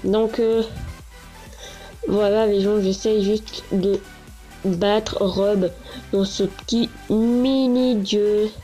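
Woman, stuffy nose from a cold, rambling gameplay chat, mellow highish voice, game music behind. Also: steady tone, very slow, pauses often, rough recording. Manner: rambling, many fillers and restarts. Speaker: gaming channel host. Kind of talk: casual gameplay commentary. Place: small echoing bedroom, lying down. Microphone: handheld console camera.

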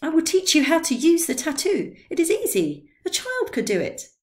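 A woman voicing an excitable, drunken old man who talks eagerly and loudly in a read-aloud story. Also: normal speed. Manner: eager, pleading, high and loud.

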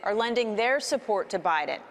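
A woman in her thirties, a TV news correspondent delivering a brisk, even live report outdoors at night. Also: medium pitch.

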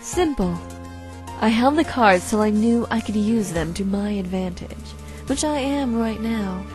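A woman playing a cold, calculating female unicorn blackmailer in a visual novel fan dub, speaking in a smug, measured, threatening way. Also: medium pitch, expressive, natural voice. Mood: coolly threatening. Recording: faint music behind.

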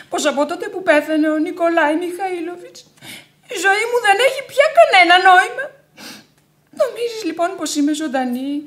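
An actress playing a proudly devoted, stubborn young widow in radio theatre, theatrical, lamenting and imperious. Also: female voice, very high-pitched, a bit bright, full character voice. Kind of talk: Greek radio theatre acting.

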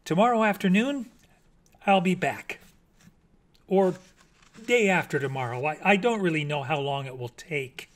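A man voicing a gentle, hopeful male bat who writes poems, in a soft, raised storybook voice. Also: high-pitched, expressive, a little slow, pauses often.

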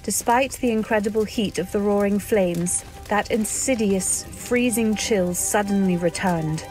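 An actress plays a refined young wife recalling her past in an old-time radio drama. The voice is measured, literary and wistful, medium in pitch, with faint music behind. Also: a little mellow, lightly acted, poor audio. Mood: tense narration.

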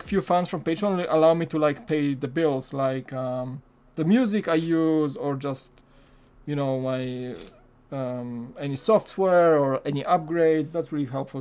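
A man's voice: a little high, a little mellow. A man in his thirties from Poland with a Polish accent, chatting casually on a live stream while building, with lots of fillers and restarts. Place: home studio room.

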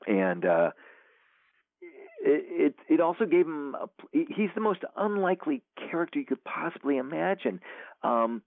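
A man's voice: on the high side, sing-song, normal speed, gravelly, no echo. A man, an author being interviewed, talking casually and rambling with many fillers and restarts. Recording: tinny phone line.

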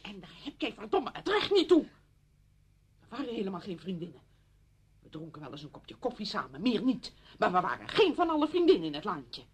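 An actress playing an exasperated, blunt, emotional neighbour in a radio play, agitated and emphatic. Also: female voice, on the low side, animated, fast, a crowd in the background, lightly acted. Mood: angry, resentful. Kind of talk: Dutch radio play acting.

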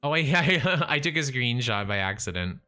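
A man's voice: mid-range voice, expressive, moderate pace, pushed and bright, faint music behind. A young man livestreaming a building game, talking to himself and chat, with a voice he says is going. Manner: chatty, self-talking, restarts and trails off. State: losing his voice. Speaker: livestreaming gamer. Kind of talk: casual live-stream chat while building. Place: small room at home. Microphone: studio mic in front of face.

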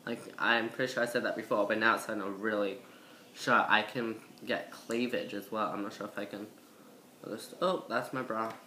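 Casting: young female vlogger, masculine-register voice with bright edge, slight cold, casual rambling delivery, faintly noisy home recording. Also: fairly even intonation, normal speed. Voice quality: low, masculine-sounding voice. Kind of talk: casual chat to camera, transition update. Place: indoor room by a window. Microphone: built-in device mic at arm's length.

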